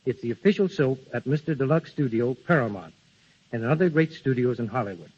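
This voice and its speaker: Husky man, vintage radio guest. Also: medium pitch, lively intonation, brisk, darkish tone. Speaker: guest cameraman giving endorsement. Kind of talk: scripted 1930s radio advertising talk. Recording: muffled vintage 1930s radio broadcast.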